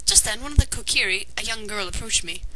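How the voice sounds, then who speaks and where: A woman's voice: a little high, fast talker. A woman reading a fan fiction story aloud as narrator, in a dramatized way. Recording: noisy amateur home recording.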